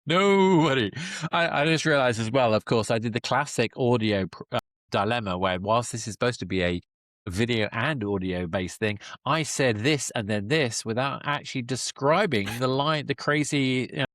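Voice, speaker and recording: A man's voice: medium pitch, moderate pace, fairly bright tone, no room sound, clean studio sound. A man hosting a conversational interview podcast, talking in an emphatic, slightly wordy way. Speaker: podcast host, works in video games. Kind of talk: casual interview chat.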